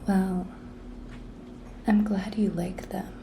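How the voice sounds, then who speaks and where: A woman playing a cute, pushy young intern coaxing her boss in an intimate, breathy ASMR whisper, coy and teasing. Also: medium pitch, little pitch movement, very slow, slight background noise. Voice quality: breathy whisper, soft-spoken. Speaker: ASMR roleplay performer.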